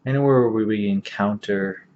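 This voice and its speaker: A man leading a guided meditation as an instructor, speaking calmly and gently with long, unhurried pauses. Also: fairly low pitch. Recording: dull lo-fi audio, faint background noise.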